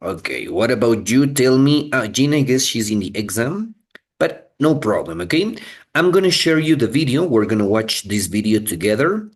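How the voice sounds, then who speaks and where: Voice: mid-range voice, sing-song, moderate pace, breathy edge. A teacher explaining things to students in an online exam-prep lesson, often checking that they follow. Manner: frequent checking questions like okay and right. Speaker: English teacher.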